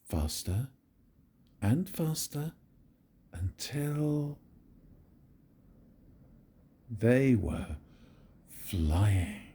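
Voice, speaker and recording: An older man reads a children's story slowly and gently, his pitch swooping widely as he acts it out. His voice is dark, mellow and breathy. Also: big pitch swings. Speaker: grandfather reading to grandchildren. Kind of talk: reading a picture book aloud.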